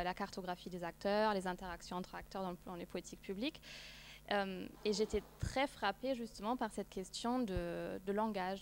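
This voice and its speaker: A woman's voice: mid-range voice, a little hiss or hum. A woman in her thirties, a non-native French speaker, presenting a research project at a seminar, with many fillers and restarts. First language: not French. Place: seminar room, seated at a long table.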